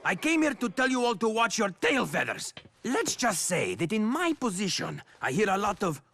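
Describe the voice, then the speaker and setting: High, squawky talking bird commentator. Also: male voice, very animated, moderate pace, creaky, fairly bright tone, soft background music, exaggerated voice. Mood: conspiratorial. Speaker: race commentator. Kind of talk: video game cutscene acting.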